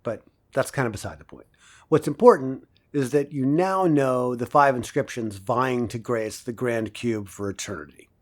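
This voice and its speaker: An actor playing a philosophical male professor lecturing a class in an audio drama, deliberate and rhetorical, with emphatic pauses. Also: medium pitch, close to an everyday voice. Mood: didactic.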